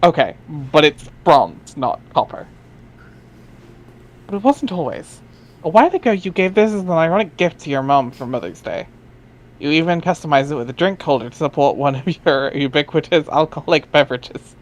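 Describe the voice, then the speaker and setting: A man's voice: a little high, animated, moderate pace. A man reading narration aloud in a dry, wry way, dropping in casual asides. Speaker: reader in a group dramatic reading.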